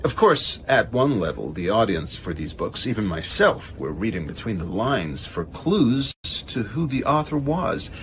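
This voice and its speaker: A man acting a measured, pedantic, evasive establishment gentleman with hesitant, careful speech in a radio drama. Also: lowish voice, very animated, a little hiss or hum, heavily acted. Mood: musing, aloof.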